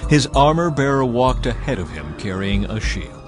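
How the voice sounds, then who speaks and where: Measured male scripture narrator. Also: mid-range voice, sing-song. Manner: measured, dramatic. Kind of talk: dramatized scripture reading. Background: faint music.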